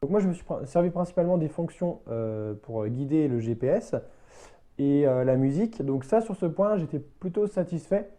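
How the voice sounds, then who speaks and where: A man giving a casual, unscripted product review as a motorbike vlogger, in a hesitant, halting way that circles back to rephrase. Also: a little high, expressive, mellow.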